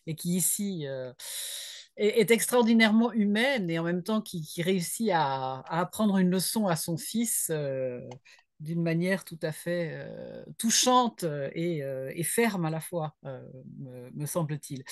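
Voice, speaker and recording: A woman moderating an online literary conversation in a measured, formal way, with hesitant phrasing. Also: on the low side, no echo, studio quality. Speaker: interviewer, academic moderator. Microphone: online video call.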